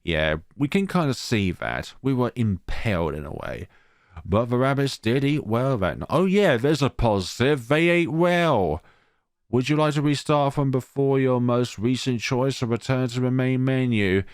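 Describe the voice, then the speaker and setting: A man's voice: mid-range voice, expressive, normal speed, clean tone, no room sound, clean studio sound. A man reading a horror visual novel aloud as a let's player, adding casual, wry, understated asides. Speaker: video game let's player.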